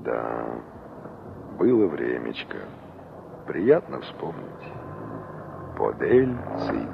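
Young engineer, gravelly, wistful and polite, 1960s radio play, dull noisy old recording. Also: male voice, extremely low pitch, big pitch swings, very slow, heavily acted. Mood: nostalgic, musing. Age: young adult. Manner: musing to himself, polite with strangers. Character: young male engineer revisiting a remembered village, wistful.

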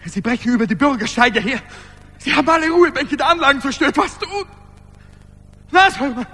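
A man's voice: extremely high pitch, animated, fairly quick, sharp tone, faint music behind, exaggerated voice. An actor playing an anxious, bewildered, panicky everyman in a radio play, agitated and stammering. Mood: panicked. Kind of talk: 1980s German radio play acting.

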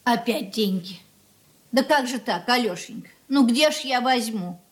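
An actress playing an anxious, meek middle-aged mother in a radio drama, with plaintive, gentle, folksy speech. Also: a little high, lively intonation, a little slow. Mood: anxious, pleading. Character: anxious, meek, pious, lamenting mother of grown son. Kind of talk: Russian radio drama acting.

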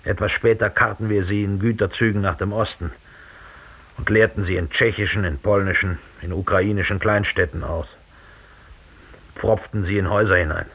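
An actor playing an unrepentant Nazi perpetrator in a radio drama, speaking in a husky, measured, deliberate monologue with a needling edge. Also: male voice, sits low, a bit bright, exaggerated voice. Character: unrepentant German Nazi perpetrator, coldly confessing, smugly rational.

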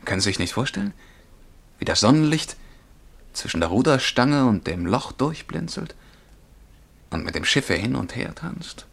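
An animated, creaky-voiced dreamy young clerk. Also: male voice, mid-range voice, normal speed, long pauses, exaggerated voice. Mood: absorbed, vivid. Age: young adult. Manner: fervent, absorbed, vividly descriptive. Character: dreamy, excitable twenty-year-old male bank clerk and would-be poet. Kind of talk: German radio play acting.

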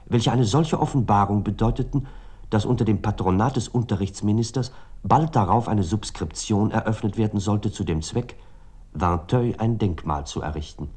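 A man narrating scripted literary prose, reading long sentences in a formal, measured way. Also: fairly low pitch, a little mellow, slightly rough.

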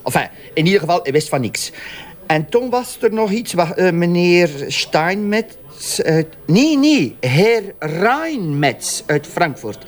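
A bumbling Flemish junior policeman in a radio drama. His fairly high voice swings up and down as he chatters, flustered and naive. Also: sing-song, slow pace, exaggerated voice. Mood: flustered, rambling.

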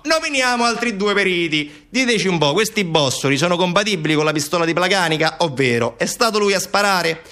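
Satirical stage monologue: male actor as evasive official, fairly high voice, colloquial, mockingly defending shady experts. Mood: cajoling, sarcastic. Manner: colloquial, sarcastic, animated rhetorical asides. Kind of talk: theatrical satirical monologue, one side of a conversation.

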